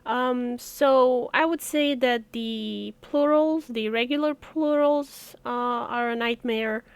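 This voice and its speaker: Lady chatting about languages, kinda high voice, laughs a lot, dry close sound. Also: takes it slowly, clean tone, no echo. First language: Romanian. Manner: chatty, laughs often, wry. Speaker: language tutorial host. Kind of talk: casual explainer video.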